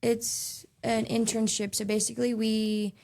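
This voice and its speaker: A woman's voice: on the high side, completely flat delivery, unhurried, creaky, a little mellow. A young woman from Texas, a guest talking in an earnest, casual way with lots of fillers and restarts. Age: young adult. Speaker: guest, youth advocate. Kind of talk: casual interview conversation.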